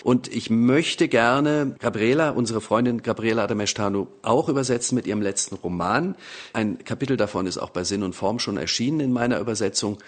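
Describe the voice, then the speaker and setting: A man talking about his life as an author and translator in a thoughtful, measured way, with frequent hesitations. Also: mid-range voice. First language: German. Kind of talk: conversational interview.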